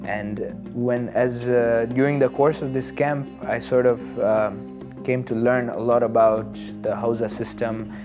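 A man in his early twenties from Tanzania, speaking as an interview guest in a measured, hesitant and earnest way. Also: mid-range voice, steady tone. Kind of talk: studio interview, personal reflection. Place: studio. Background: plucked guitar music.

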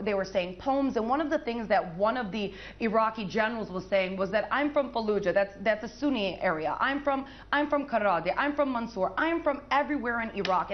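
A woman's voice: on the high side, muffled recording. A woman correspondent giving a live field report, earnest and often repeating her words.

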